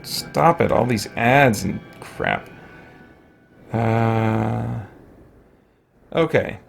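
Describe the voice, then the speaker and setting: A man's voice: mid-range voice, vocal fry. A middle-aged man giving casual let's play commentary, musing and thinking aloud as he goes. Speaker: gaming video host. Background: music.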